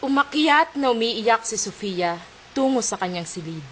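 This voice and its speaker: A woman's voice: a little high, lively intonation, moderate pace, slight background noise. A young Filipino woman reading scripted narration for an amateur radio drama. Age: young adult. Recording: loud music under the voice.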